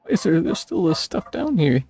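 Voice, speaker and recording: A man's voice: fairly high pitch, sing-song, normal speed, warm tone. A man giving casual gameplay commentary, rambling and thinking aloud in a self-deprecating way. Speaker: streamer playing a video game.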